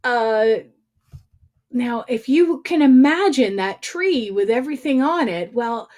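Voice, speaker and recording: A woman's voice: on the high side, animated, slow, darkish tone. A woman hosting a read-aloud for young children, speaking playfully and directly to them with exaggerated expression. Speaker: children's storyteller and singer hosting a livestream.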